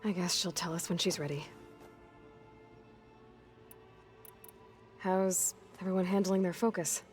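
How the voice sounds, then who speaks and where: An actress playing a guarded, determined young heroine in a video game, speaking in a dry, understated, weary way. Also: female voice, lowish voice, fairly even intonation, a little fast, darkish tone, faint music behind, natural voice. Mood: casual, reserved. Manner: understated, terse, reserved. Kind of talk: naturalistic video game cutscene acting.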